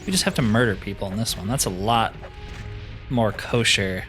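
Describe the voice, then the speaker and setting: A man's voice: lowish voice, moderate pace, a bit bright, soft background music. Casual guy doing game commentary. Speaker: host of a gaming playthrough. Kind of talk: casual let's-play commentary.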